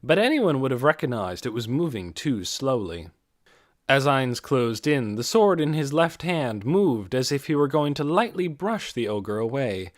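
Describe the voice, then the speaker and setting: Guy narrating a fantasy audiobook, really lively pitch, dry close sound, dramatic. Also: a little slow, dry recording.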